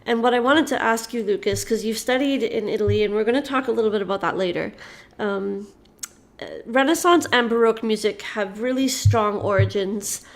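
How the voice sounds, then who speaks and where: A woman hosting an interview, enthusiastic and quick to laugh as she asks her questions. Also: on the high side. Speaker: host. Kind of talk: interview host.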